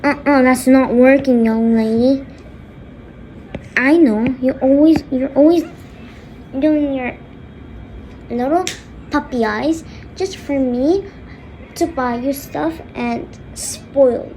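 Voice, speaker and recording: Stern angry dad played by a woman, high voice, blunt emphatic scolding, homemade skit, noisy recording. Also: slow, long pauses, mellow. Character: stern, angry father scolding his spoiled daughter. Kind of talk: homemade skit role-play.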